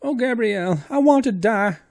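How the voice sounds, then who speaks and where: A man voicing a terrified, sobbing woman servant in a shrill, wailing falsetto. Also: lively intonation, moderate pace, gravelly, a little mellow. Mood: wailing terror.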